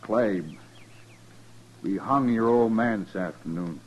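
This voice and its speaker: An actor playing an evasive, callous American rancher in a 1950s radio western, with folksy, halting, understated talk. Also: male voice, lowish voice. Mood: uneasy, offhand. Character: evasive, callous male rancher confessing to a lynching.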